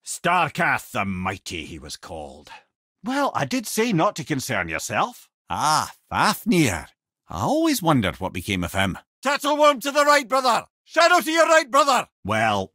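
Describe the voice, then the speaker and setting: Animated Scottish sage Mimir, wry storyteller. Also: male voice, on the high side, unhurried, no echo, clean studio sound, heavily acted. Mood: wry storytelling, then urgent warning. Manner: wry, eloquent, theatrical storyteller. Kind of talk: video game character dialogue and storytelling.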